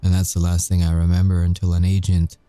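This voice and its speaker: A man playing a cocky but haunted werewolf soldier, telling his story in a wry, measured way with dramatic pauses, in an ASMR audio roleplay. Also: low-pitched, monotone, background noise, lightly acted. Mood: bitter, weary.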